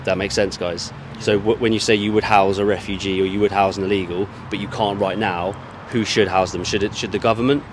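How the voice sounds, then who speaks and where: A man's voice: on the low side, steady tone, brisk, hardly any pauses, background noise. A man interviewing students on the street with a handheld mic, asking probing, leading questions and repeating his words. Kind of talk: vox-pop street interview. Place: outdoors on a street near a university.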